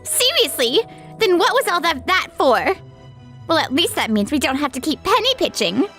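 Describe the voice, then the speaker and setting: A woman playing a spoiled, resentful wife in a comic dub, sharp and complaining. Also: high-pitched, sing-song, moderate pace, faint music behind, heavily acted. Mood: indignant. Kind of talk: anime-style comic dub acting.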